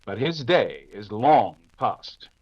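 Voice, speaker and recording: An actor playing a confident, dismissive veteran detective in the manner of 1940s radio drama. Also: male voice, medium pitch, very animated, highs cut off. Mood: matter-of-fact. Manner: brisk, dismissive, assured. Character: confident, dismissive veteran English detective, dryly amused and unflappable.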